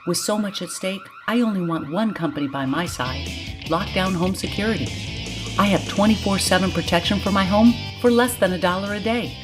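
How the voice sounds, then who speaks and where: A woman's voice: mid-range voice, brisk, over music, close to an everyday voice. A woman acting an earnest customer in a scripted radio advertisement. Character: security-conscious female customer endorsing home protection.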